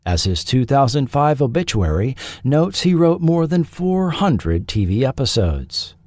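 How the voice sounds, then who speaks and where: A man hosting and narrating a scripted documentary feature in a measured, articulate way. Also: medium pitch, very animated, darkish tone, no room sound, studio quality. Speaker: podcast host and narrator.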